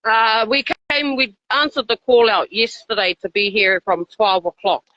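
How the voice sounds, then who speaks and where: A woman's voice: a little high, fairly even intonation, moderate pace, bright, forceful tone, no room sound. A woman with a New Zealand accent giving an earnest, informal live interview as an activist. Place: outside a hospital, live call. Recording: dull lo-fi live stream audio.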